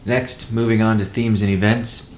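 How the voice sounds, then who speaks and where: A man presenting a company update as a chief executive, in a measured, matter-of-fact way with halting phrasing. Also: lowish voice, flat delivery, a little mellow, a little hiss or hum. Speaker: company CEO hosting a weekly call. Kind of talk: business update presentation, partly read from notes.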